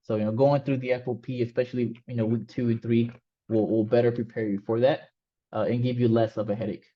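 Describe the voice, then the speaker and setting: A man's voice: mid-range voice, little pitch movement, fast, mellow. A man whose first language is Spanish, teaching an online coding class in a loose, casual way with many fillers and restarts. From Mexico. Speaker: coding instructor. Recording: slightly dull, lo-fi sound.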